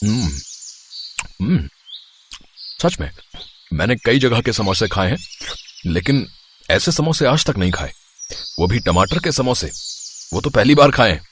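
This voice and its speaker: A man voicing an appreciative, businesslike chef in a cartoon moral story, courteous and deliberate. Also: mid-range voice, expressive, a little hiss or hum, close to an everyday voice. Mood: impressed, businesslike.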